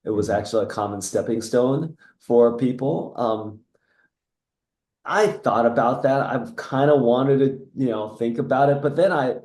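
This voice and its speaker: Guest, middle-aged US man, mellow, reflective and rambling, echoey room. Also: medium pitch, unhurried. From United States (Seattle; born in Taiwan). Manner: reflective, rambling with restarts and soft laughs. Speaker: guest, entrepreneur. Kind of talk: casual interview.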